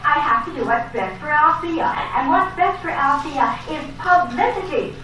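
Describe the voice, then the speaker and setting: Actress as vain young sister for vintage soap: high-voiced, defiant, brash, heard from afar in a very echoey space. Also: female voice, lively intonation, normal speed, bright, forceful tone. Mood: defiant, self-absorbed. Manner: dramatic, brash. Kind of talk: old-time soap opera acting. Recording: echoey, distant old soap opera recording, faint noise.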